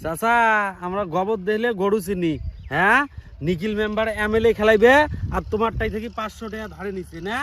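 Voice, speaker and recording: A man's voice: sits high, brisk, a bit bright, a little hiss or hum. A man playing a sceptical, mocking villager in a comedy skit, accusing in a rural North Bengal accent. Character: nosy, sceptical male villager needling an elder.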